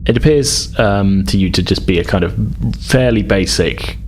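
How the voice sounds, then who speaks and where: A man narrates a tabletop roleplaying game as its game master in a very low voice. He improvises conversationally, hedging as he goes, with slight background noise. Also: moderate pace, rough recording.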